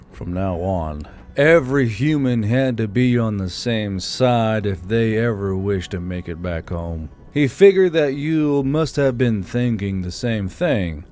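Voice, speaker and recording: A man's voice: medium pitch, darkish tone. A man reading scripted audiobook fiction as its narrator. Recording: music under the voice.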